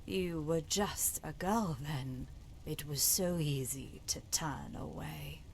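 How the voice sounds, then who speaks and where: An actress plays a dead woman's spirit in a fantasy game, solemn and all-knowing. She speaks in a slow, lowish voice, measured and portentous, trailing into hushed endings. A low rumble sits faintly underneath. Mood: cold, accusing. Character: long-dead sister's spirit, solemn, knowing, finally forgiving. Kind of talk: dramatic video game mod voice acting.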